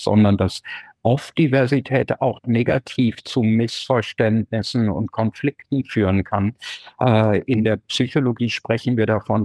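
A man from Germany speaks as a researcher guest in an interview. He sets out his points calmly and in order, with an academic tone. The sound is dry and close, with no room around him. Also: lowish voice, no room sound. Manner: measured, academic, lays out arguments step by step. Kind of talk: explanatory interview answers.

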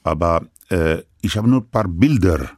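An older man who grew up speaking French in Lebanon, recounting his life story in halting, broken sentences full of restarts. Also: low-pitched, lively intonation, a little mellow, dry recording, studio quality. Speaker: interviewee.

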